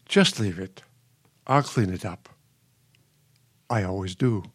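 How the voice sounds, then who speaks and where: An actor in a radio play performing a weary, patient older husband, placating and pained. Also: male voice, sits low, takes it slowly, breathy edge, heavily acted. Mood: resigned. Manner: placating, pained, long-suffering.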